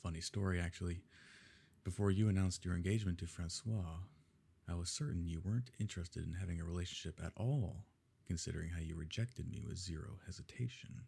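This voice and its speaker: A man playing a smug, wealthy evil nobleman in an intimate ASMR roleplay, suave and condescending, with a gravelly, husky voice. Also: deep voice, a little fast, dark tone, heavily acted. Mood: sly, self-satisfied. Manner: suave, condescending, leisurely formal phrasing.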